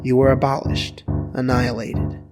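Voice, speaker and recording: A man narrating a dramatized literary audiobook with earnest, dramatic phrasing and deliberate pauses. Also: mid-range voice, level tone. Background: guitar music.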